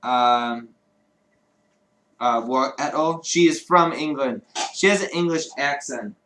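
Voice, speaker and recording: Man co-hosting a casual livestream, rambling and swearing, mid-pitched voice. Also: slow, fairly bright tone, slight background noise. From Chicago, United States. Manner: rambling, profane, laughs often. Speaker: livestream co-host. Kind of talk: casual chat. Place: home streaming studio.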